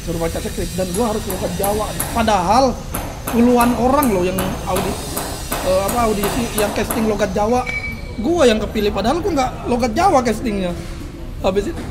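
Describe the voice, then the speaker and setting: A young man from Makassar, a comedian, telling stories in a lively casual chat and laughing often. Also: high-pitched, fairly quick, noisy background. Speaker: comedian guest.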